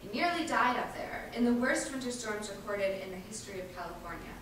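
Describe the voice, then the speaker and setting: A woman giving an earnest, emphatic dramatic reading of a first-person monologue, with deliberate pauses. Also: mid-range voice, fairly bright tone. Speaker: reader performing an activist's first-person testimony. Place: large indoor event space, distant microphone. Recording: echoey hall from far off, faint noise.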